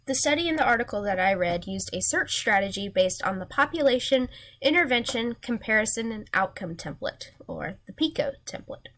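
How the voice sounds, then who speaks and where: Young female student, congested and nasal, slightly high voice, earnest read-through of a class presentation. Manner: earnest, reading from slides. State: recovering from a sinus infection.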